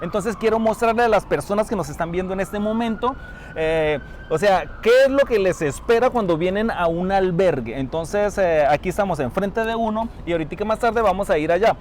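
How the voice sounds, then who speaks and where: A man's voice: high-pitched, lively intonation, brisk, a little mellow. A man hosting a casual street interview outdoors, chatty and laughing often as he asks friendly questions. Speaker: vlogger host. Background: faint traffic noise.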